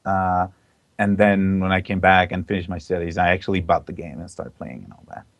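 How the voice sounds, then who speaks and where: A man's voice: deep voice, fairly even intonation, fairly quick, no room sound. A young man from Belgium, a guest in a casual interview, talking with enthusiasm and plenty of "uh"s and "like"s. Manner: enthusiastic, chatty, many fillers. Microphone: online call mic.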